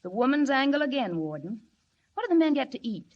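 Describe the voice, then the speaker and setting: An actress playing an inquisitive, determined young reporter, chirpy and polite, in 1940s radio style. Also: female voice, sits high, very animated, fast. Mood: keen, polite. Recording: dull old radio recording.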